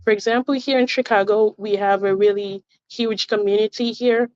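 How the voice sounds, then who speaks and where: A woman's voice: mid-range voice, fairly even intonation, moderate pace, a little mellow, smooth, dry recording. A woman, a language tutor answering interview questions earnestly and carefully, with frequent fillers. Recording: dull, muffled audio.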